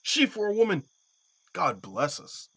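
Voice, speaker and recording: A man's voice: sits high, huge pitch swings, unhurried, pushed and bright, husky, heavily acted. A man playing a witty nobleman who wryly mocks and teases in a Shakespearean audio play. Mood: dry mockery. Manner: wry, teasing.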